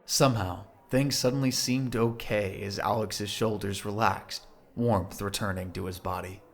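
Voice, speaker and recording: A man's voice: lowish voice, fairly even intonation, measured pace, vocal fry. A man narrating an audio drama from a script.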